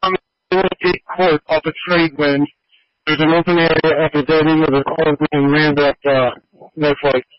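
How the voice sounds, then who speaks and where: A man's voice: a little high, little pitch movement, moderate pace, no room sound. A man on a fire crew reporting from an emergency scene over a two-way radio. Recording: muffled scanner radio audio.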